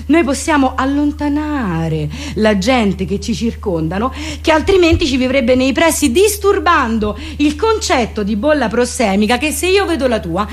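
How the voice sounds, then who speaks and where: High-voiced Roman woman ranting in comic monologue. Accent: Roman Italian. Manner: ranting, repeating phrases obsessively.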